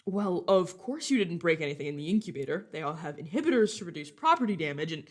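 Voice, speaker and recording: A woman's voice: on the low side, sing-song, quick pace. A woman playing a casual, reassuring vampire guide in an audio roleplay, talking informally to the listener as a mentor to a newcomer. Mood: chatty, wry. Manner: chatty, informal, self-correcting, reassuring.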